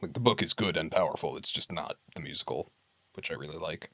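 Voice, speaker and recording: A man's voice: extremely low pitch, fast talker, gravelly, fairly bright tone, no room sound. A man streaming from home, chatting casually with his viewers in a candid, wry way. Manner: candid, wry, reads list items aloud and comments.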